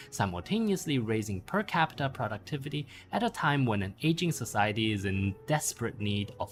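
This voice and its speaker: Man reading an essay with lively intonation. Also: medium pitch. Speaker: narrator reading a bedtime-story style essay. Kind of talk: scripted narration read aloud.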